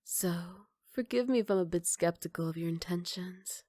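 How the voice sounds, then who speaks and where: A woman playing a flirty, self-assured villainess in an ASMR roleplay, speaking softly and often near a whisper in a sly, unhurried, mock-polite way. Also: lowish voice, no echo, clean studio sound, natural voice. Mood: coy, mock-polite.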